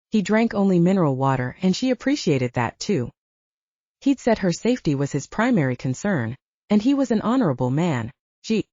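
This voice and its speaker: A woman's voice: lowish voice, warm tone, no room sound, highs cut off. A woman reading fiction as an audiobook narrator, in a scripted storytelling style.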